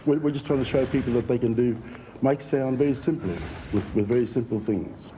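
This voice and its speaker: A man speaking reflectively in an interview as a theatre practitioner, measured and plain-spoken. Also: mid-range voice, lo-fi sound, background noise. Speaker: theatre group member being interviewed.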